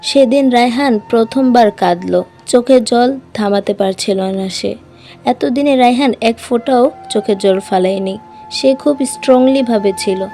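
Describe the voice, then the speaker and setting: A woman narrating a sad love story as a scripted voice-over, reading softly and with feeling. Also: on the high side, measured pace, soft background music.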